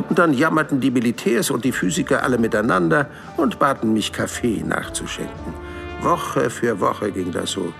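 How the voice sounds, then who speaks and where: A man's voice: mid-range voice, creaky. An actor playing a sly, unassuming Swedish man who recalls his exploits in a dry, understated way in an audio drama. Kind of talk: audio drama character monologue.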